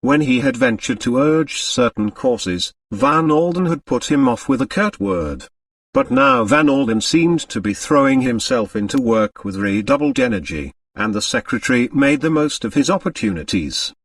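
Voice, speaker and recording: A man narrates literary fiction with very animated, varied intonation. The recording is dry, with the voice sitting slightly back from the microphone. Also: mid-range voice, normal speed, vocal fry, no echo, far from the mic. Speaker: audiobook narrator.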